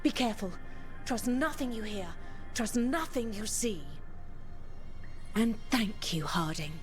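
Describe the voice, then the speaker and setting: Woman doing shaken dwarf survivor lady from a video game, high voice, wary, bit of music behind. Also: measured pace, close to an everyday voice. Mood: wary, grateful.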